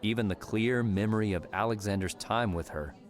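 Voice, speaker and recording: A man narrating a novel as an audiobook, in a measured storytelling manner. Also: lowish voice. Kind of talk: scripted fiction narration.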